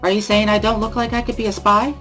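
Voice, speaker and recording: A man voicing a cheerful, energetic teenage girl runner with a high-pitched, enthusiastic voice, in a dramatic reading of a visual novel. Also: fast, faint music behind. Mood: eager. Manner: perky, enthusiastic.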